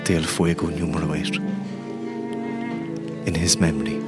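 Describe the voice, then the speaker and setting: A man playing a courteous older mourner at a wake in an improvised audio story, speaking haltingly and with effusive politeness. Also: rumbling low, level tone. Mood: solemn, welcoming. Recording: music behind the voice.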